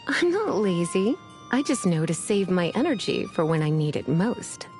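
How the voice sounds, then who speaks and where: A woman's voice: on the low side, sing-song, moderate pace, darkish tone. A woman voicing a languid, teasing female mage and librarian in a fantasy video game, with a flirtatious, sleepy drawl. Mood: drowsy. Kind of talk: video game character voice lines. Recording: music behind the voice.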